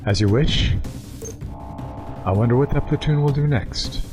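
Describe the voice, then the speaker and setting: A man's voice: medium pitch, a little slow, music in the background, natural voice. An actor playing a sly, talkative male alien time traveller in an audio drama, with a wry, mocking, chatty manner. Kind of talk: full-cast audio drama acting.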